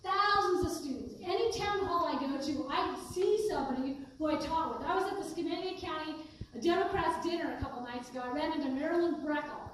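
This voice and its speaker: High-voiced woman candidate in echoey hall. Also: a little fast. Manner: earnest, conversational, emphatic when defending herself. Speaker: congressional candidate at a town hall. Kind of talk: answering audience questions at a political town hall. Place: community town hall meeting room. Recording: echoey hall, voice from far off, noisy.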